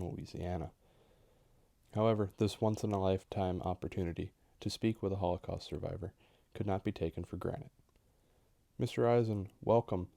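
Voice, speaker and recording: A man's voice: low voice, creaky, dark tone, dry recording. A young man working as an interviewer, delivering a scripted introduction in an interview style. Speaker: interviewer, history graduate student. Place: indoors, recorded for a video call interview.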